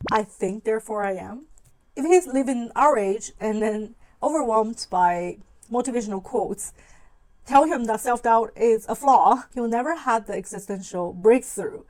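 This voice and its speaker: A woman with a fairly high voice hosts a talk-to-camera video essay. She is a non-native English speaker with an informal, conversational delivery, voicing her own thoughts as she explains. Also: darkish tone, poor audio. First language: not English. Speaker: video channel host.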